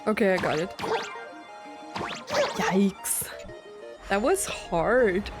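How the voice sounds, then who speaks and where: A woman's voice: medium pitch, expressive, very slow, music in the background. A woman giving casual gameplay commentary, thinking aloud and reacting chattily as she plays. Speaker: game streamer.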